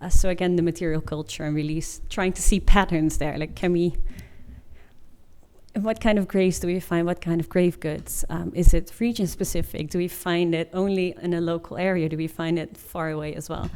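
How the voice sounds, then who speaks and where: A woman's voice: lowish voice, fairly even intonation, moderate pace, a little mellow, clean tone. A woman in her twenties giving an informative presentation in an informal, explanatory way. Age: 20s. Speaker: master's student presenter.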